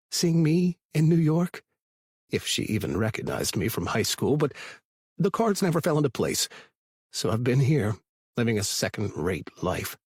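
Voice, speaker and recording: A man's voice: medium pitch, breathy edge, dry recording, clean studio sound. A man playing an obsessive, possessive stalker in a thriller audiobook, narrating in the first person with brooding, self-absorbed intensity. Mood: wistful, obsessive. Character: obsessive, possessive male stalker, frustrated and scheming.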